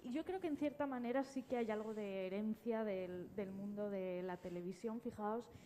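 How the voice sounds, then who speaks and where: Woman, mellow mid-pitched voice, hesitant panel talk, background room noise. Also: normal speed. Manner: hesitant, searching for words. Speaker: panel guest. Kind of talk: unscripted panel discussion.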